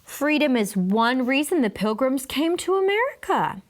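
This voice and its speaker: A woman voicing a patient, bemused male first-grade teacher in a storybook, with a halting, gently questioning manner. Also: high voice, very animated, voice pushed up. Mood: encouraging.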